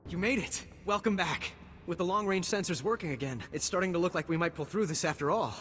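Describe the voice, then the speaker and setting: A man's voice: sits high, fast, fairly bright tone. An actor playing an earnest, anxious male army lieutenant in a video game, urgent and sometimes faltering. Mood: relieved, elated. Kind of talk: scripted video game cutscene acting. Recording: long reverberant hall, faint background noise.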